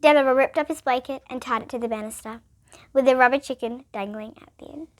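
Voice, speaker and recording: A female reader, reading a children's picture book aloud in an expressive, emphatic way. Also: high voice, a little fast.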